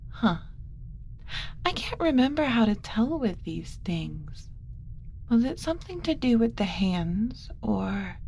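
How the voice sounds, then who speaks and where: Kind alien mother, played by a woman, flustered but tender; ASMR roleplay, soft and mellow, unhurried, slipping into whispers. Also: on the high side, a little hiss or hum. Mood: curious, musing. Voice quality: soft, often dropping to a whisper. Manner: gentle, cooing, hesitant muttering to herself.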